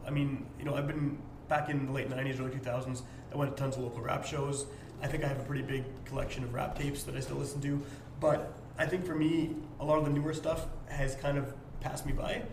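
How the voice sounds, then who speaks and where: A man hosting a casual podcast interview in a basement, hoarse and wheezing because he is losing his voice, rambling in a self-deprecating way. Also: fairly high pitch, slight background noise. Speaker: podcast host. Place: home basement.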